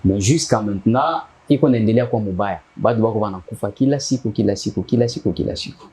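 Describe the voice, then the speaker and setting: Husky low-voiced Congolese man. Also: fast, warm tone. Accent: Congolese, mixing Swahili and French. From eastern Congo. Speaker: guest, activist. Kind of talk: interview answers.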